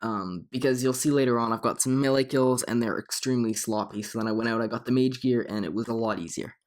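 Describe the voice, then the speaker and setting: Young man, slightly hoarse, gaming commentary voice-over, rambling, lots of ums. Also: mid-range voice, fast talker. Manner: rambling, frequent ums and restarts. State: hoarse from a cold. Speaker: gaming channel host. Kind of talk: casual gameplay commentary.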